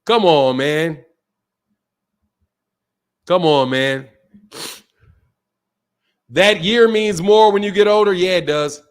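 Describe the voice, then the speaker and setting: A man hosting a casual live-stream boxing talk show, reading out chat comments and reacting in an animated, repetitive way with plenty of swearing and slang. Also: high-pitched, slow pace, long pauses, smooth. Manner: animated, swears freely, repeats himself.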